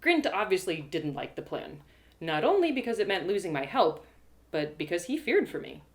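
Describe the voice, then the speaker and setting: A woman narrating a scripted fantasy tale in the first person, with expressive, dramatic storytelling. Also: lowish voice, normal speed.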